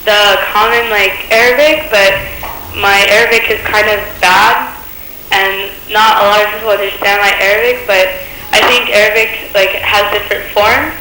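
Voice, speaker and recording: A woman's voice: mid-range voice, steady tone, moderate pace, sharp tone. A young American woman calling in to a TV show. She talks casually and hesitantly, with lots of "like" fillers. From California, USA. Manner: casual, hesitant, heavy use of "like". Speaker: caller. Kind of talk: casual phone call. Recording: echoey, with faint background noise.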